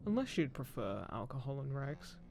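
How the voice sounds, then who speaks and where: A man playing a roguish, smug, gruffly caring undead pirate captain in a roleplay audio drama, teasing and menacing, speaking unhurriedly to the listener. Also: mid-range voice, big pitch swings, moderate pace, a little mellow, a little hiss or hum. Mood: gruff concern. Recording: constant ship creaking ambience behind voice. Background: creaking wooden ship sound effects.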